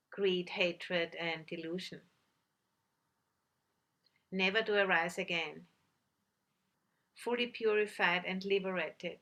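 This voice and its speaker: A woman teaching a guided meditation, giving gentle instructions with long pauses between phrases. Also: fairly low pitch.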